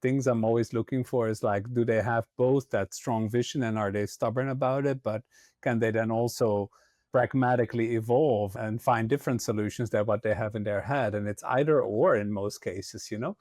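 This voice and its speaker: A man's voice: mid-range voice, steady tone, moderate pace, darkish tone, no echo, clean studio sound. A man hosting a podcast interview, asking friendly follow-up questions and affirming his guest's answers. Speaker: podcast host.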